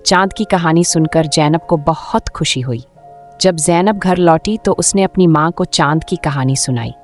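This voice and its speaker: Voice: medium pitch, normal speed. A narrator reading a scripted children's story in a storytelling style. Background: music.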